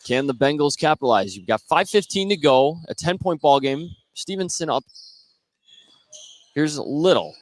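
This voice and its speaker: A man's voice: on the high side, expressive, normal speed, dry recording. A man calling live basketball play-by-play for a radio broadcast. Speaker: play-by-play announcer. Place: indoor basketball gym, live game. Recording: noisy live gym broadcast.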